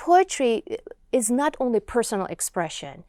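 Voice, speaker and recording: A woman whose first language is Georgian, speaking as an interview guest and reciting poems in a slow, earnest, expressive way. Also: a little high. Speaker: guest poet.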